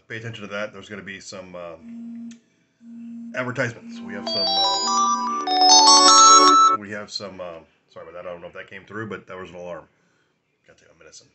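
A man in his forties with a raspy voice from a sinus infection, rambling casually through a whiskey tasting vlog at home, filming on a phone at arm's length. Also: fairly low pitch, sharp tone. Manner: rambling, chatty, laughs often, many fillers. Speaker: whiskey review host. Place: small room at home, indoors.

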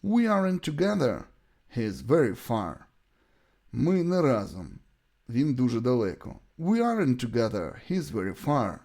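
A man with a Ukrainian accent, a native Ukrainian speaker, teaching English by deliberately reading out short example sentences and repeating them. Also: medium pitch, wildly swooping pitch, vocal fry, mellow. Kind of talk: bilingual language lesson, reading example sentences and translations.

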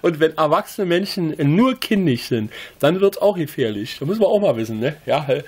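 Husky-voiced Berliner man, lively and rambling. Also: fairly high pitch, brisk. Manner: chuckling, frequent tag questions and filler hesitations. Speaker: critic. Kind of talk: rambling conversational rant.

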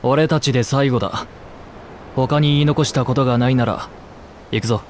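A man's voice: medium pitch, steady tone, normal speed, slight background noise, close to an everyday voice. An actor voicing a stoic young elf swordsman who speaks in a curt, level way. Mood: steady.

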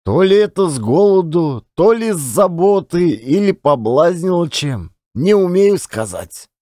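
A man voicing a pious old Siberian peasant telling his story in folksy, drawn-out, old-fashioned speech. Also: high-pitched, big pitch swings, warm tone, no echo, studio quality. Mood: earnest wonder. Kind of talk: dramatised audiobook storytelling.

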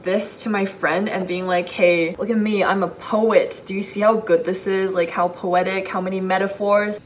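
A woman's voice: medium pitch, steady tone, normal speed, slight background noise, poor audio. A woman in her late teens with a North American accent, chatting casually as a YouTuber in a self-mocking, theatrical way. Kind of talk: casual vlog chat with dramatic readings. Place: basement room at home, indoors. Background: background music.

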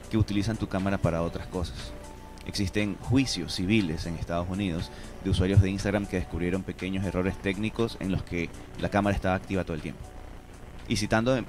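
A man in his thirties explaining things with examples as an expert guest in a radio studio interview. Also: low voice. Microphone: studio broadcast microphone.

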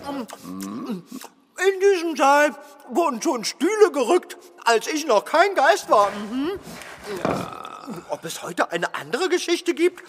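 High-pitched, childish old castle ghost. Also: male voice, wildly swooping pitch, a bit bright, big-room sound, a little hiss or hum, heavily acted. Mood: gleeful, musing. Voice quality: theatrical, drawn-out delivery. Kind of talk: audio drama acting.

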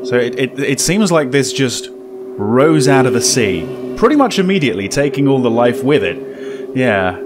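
Man doing wry gameplay commentary over loud music. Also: mid-range voice, very animated, measured pace. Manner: wry, reactive asides. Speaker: gaming video host. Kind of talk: casual let's play commentary. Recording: loud game music behind. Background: game music.

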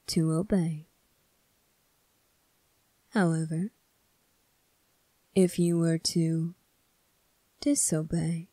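Woman playing a slow, stern demon prince. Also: fairly low pitch, dark tone, no room sound. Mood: measured, tempting. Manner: slow, deliberate, accusing, with breathy whispered phrases. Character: stern, bitter young male demon prince rebuking an angel. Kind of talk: scripted ASMR roleplay monologue.

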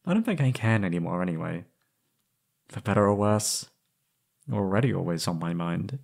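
A young man playing an anguished, lovesick former captive in an intimate roleplay audio, halting and pleading. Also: sits low, lively intonation, creaky, a little mellow, pitched below the performer's own voice, heavily acted. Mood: relieved, tender. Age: young adult.

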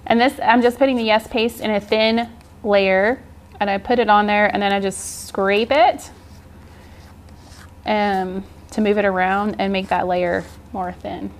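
A woman's voice: medium pitch, fairly even intonation, unhurried. A woman in her forties giving an informal, encouraging art journaling tutorial in a casual style. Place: indoor art studio, seated at work table.

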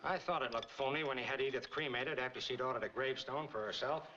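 An actor playing a businesslike, knowing investigator in a 1940s film noir. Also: male voice, medium pitch, quick pace, over music, close to an everyday voice. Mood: matter-of-fact. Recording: dull, noisy 1940s film soundtrack.

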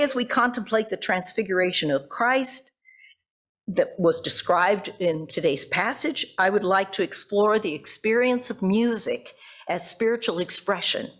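A woman's voice: mid-range voice, expressive, measured pace. A middle-aged American woman, a pastor, giving a reflective, conversational sermon at a church pulpit microphone. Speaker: pastor preaching. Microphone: gooseneck pulpit microphone.

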